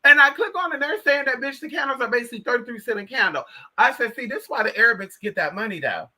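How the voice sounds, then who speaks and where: A man's voice: very high-pitched, expressive, fast talker, sharp tone. An American man chatting casually to livestream viewers in a sassy, slangy, emphatic way, addressing listeners with pet names. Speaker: livestream host.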